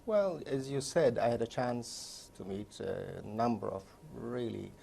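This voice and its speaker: A man in his sixties from Turkey, recounting his career in a measured, step-by-step way during an oral history interview. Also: medium pitch, gravelly, mellow, a little hiss or hum. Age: 60s. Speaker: interviewee, neurologist.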